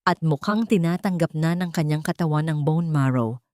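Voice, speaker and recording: A woman playing a tired, reassuring doctor in an audiobook drama, formal and gentle. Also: deep voice, normal speed, dry recording, studio quality, natural voice.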